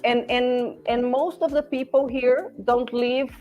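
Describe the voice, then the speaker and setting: A woman in her forties from Brazil, speaking English with a Brazilian Portuguese accent as a podcast guest, halting and searching for words with many restarts. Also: high-pitched, steady tone, muffled recording, faint music behind. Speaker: podcast guest, former classmate. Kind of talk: casual conversation.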